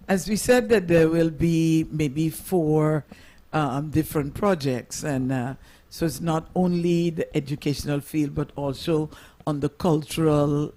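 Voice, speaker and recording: A man hosting a local radio program, introducing and interviewing guests in halting, wordy, rather formal phrasing. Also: fairly high pitch, warm tone.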